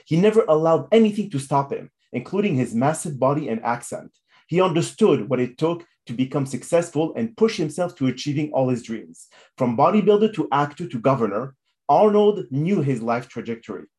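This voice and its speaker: A man's voice: on the high side, animated, brisk, a little mellow. A man hosting an interview podcast in a formal, effusive and motivational manner. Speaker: podcast host.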